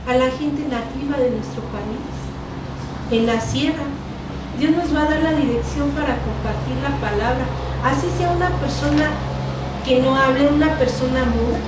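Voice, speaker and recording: A woman from Mexico with a Mexican Spanish accent teaching a Bible class in an earnest tone, repeating phrases and correcting herself. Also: sits high. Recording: echoey room, distant mic, noisy.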